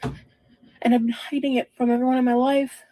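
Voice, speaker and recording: A woman giving a personal, confessional vlog monologue in a halting, self-reflective way. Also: high-pitched, level tone, dark tone, poor audio.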